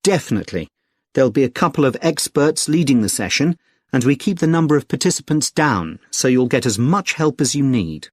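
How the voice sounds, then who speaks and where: Helpful British club secretary, dry sound. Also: male voice, mid-range voice, very animated, darkish tone, dry recording. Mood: helpful, informative. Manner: polite, explanatory, measured. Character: friendly, helpful male secretary of a conservation group. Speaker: actor in a listening test dialogue. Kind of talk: scripted everyday conversation, clearly enunciated.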